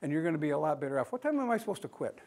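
Older man, folksy lecturer, fairly high voice, classroom talk, plain-spoken, quizzes the room. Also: sing-song, racing, darkish tone. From United States. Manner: folksy, plain-spoken, asks the audience questions. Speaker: beekeeping speaker. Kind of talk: conference lecture.

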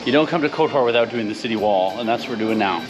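A man's voice: mid-range voice, fast talker, over loud music. A man chatting upbeat and directly to viewers as a travel vlogger, walking outdoors through a town and up a mountain trail. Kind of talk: casual travel vlog narration.

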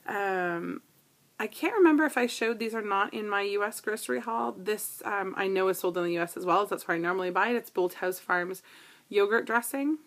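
A woman's voice: mid-range voice, brisk, fairly bright tone. Chatty woman vlogging a grocery haul. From Canada. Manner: chatty, lots of ums, asides to her device. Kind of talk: casual grocery haul vlog. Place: living room with couch, indoors. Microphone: tablet camera built-in mic.

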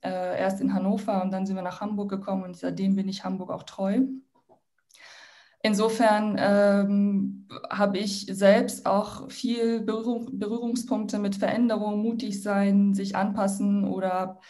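Casting: young woman, Iran-born, friendly guest on a laptop video call, halting with fillers. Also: medium pitch, flat delivery, dark tone. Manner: friendly, with frequent fillers and restarts. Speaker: guest speaker, social entrepreneur. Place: home room, indoors. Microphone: laptop mic on video call.

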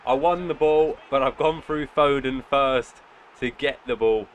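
A young man with a cold, sounding nasal, casually commentating his football video game and bursting out loudly at big moments. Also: medium pitch, unhurried, slight background noise. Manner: excitable outbursts during play, muttering between. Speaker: gaming channel host. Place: indoor room at home.

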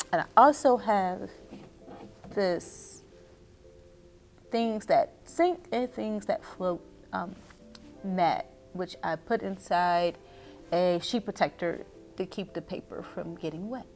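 Woman, middle-aged, unhurried pace, mid-range pitch, story time host, expressive delivery for young listeners. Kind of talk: children's read-aloud and instruction. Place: small indoor reading nook with bookshelves.

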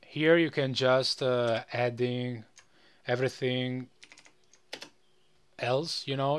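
A man presenting a casual, unscripted software tutorial in a rambling way, often tagging phrases with "you know". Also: medium pitch, clear, resonant tone.

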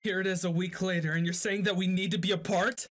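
A man's voice: high voice, little pitch movement, quick pace, fairly bright tone, no room sound. A man performing a boyfriend ASMR roleplay, speaking to an unseen listener in a moody, dramatic way.

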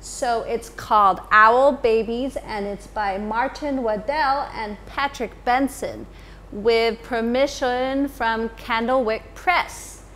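A woman in her thirties, a teacher, reading a picture book to children in an expressive storytime manner in a school library. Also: a little high, slight background noise. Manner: slow, expressive, addressing children.

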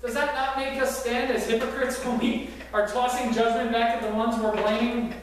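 A man's voice: extremely high pitch, level tone, moderate pace, very echoey, slight background noise. A man preaching a sermon in a church, telling a story earnestly with some fillers, recorded from far back in the church. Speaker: pastor. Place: church sanctuary with congregation. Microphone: far camera at back of church.

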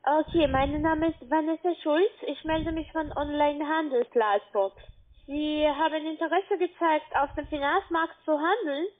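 A woman telemarketer with a foreign accent, giving a halting sales pitch by phone in non-native phrasing. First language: not German. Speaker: cold-calling telemarketer. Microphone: telephone. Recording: narrow-band phone line.

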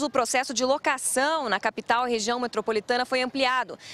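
A woman's voice: sits high, a bit bright. A woman with a Brazilian Portuguese accent reporting the news outdoors into a handheld mic. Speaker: field reporter. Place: outdoors on a city street.

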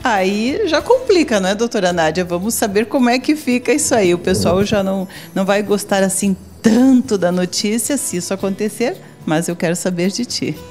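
A woman's voice: mid-range voice, lively intonation, moderate pace, few pauses, a little mellow, soft background music. A woman in her forties with a Brazilian accent, hosting a TV interview in an office, chatty and leading questions with a handheld mic. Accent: Brazilian Portuguese. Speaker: TV host. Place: office meeting room.

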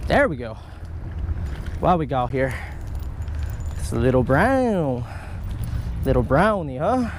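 A man giving casual, excitable running commentary while fishing outdoors at a creek, talking to the fish and his viewers, recorded on a chest-mounted action camera. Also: mid-range voice, takes it slowly, long pauses, noisy background. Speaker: fishing vlogger. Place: outdoors by a creek under a stone bridge.

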